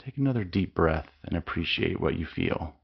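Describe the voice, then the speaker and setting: A man leading a guided mindfulness meditation with slow, calm, soothing instructions and long pauses. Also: deep voice, creaky, husky, lo-fi sound.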